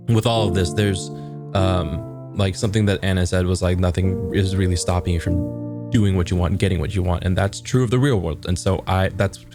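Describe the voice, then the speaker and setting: A man playing a tabletop game, thinking aloud in a hesitant way with many restarts. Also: low voice. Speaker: player. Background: background music.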